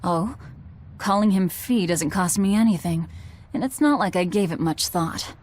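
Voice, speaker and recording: Deadpan, curt young woman fighter acted by a woman. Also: on the low side, normal speed, close to an everyday voice. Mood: guarded, dismissive. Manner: deadpan, blunt, terse. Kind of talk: English anime game dub.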